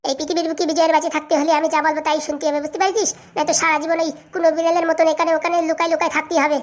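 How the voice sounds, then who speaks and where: A woman's voice: very high-pitched, little pitch movement, very fast. A woman playing a cocky, scheming young woman in a comic cartoon, brash and boastful. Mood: bossy, menacing.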